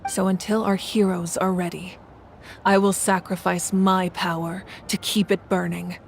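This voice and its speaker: An actress playing a proud, resolute female ruler in a video game, speaking in a measured, solemn and commanding way. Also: fairly low pitch, flat delivery, creaky, a little hiss or hum. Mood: solemn resolve. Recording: music behind the voice.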